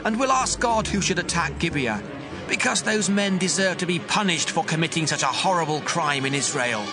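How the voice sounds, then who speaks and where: A man's voice: fairly high pitch, expressive, moderate pace, music in the background, natural voice. A man acting a determined Israelite soldier declaiming to his comrades in a dramatized audio Bible. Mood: resolute.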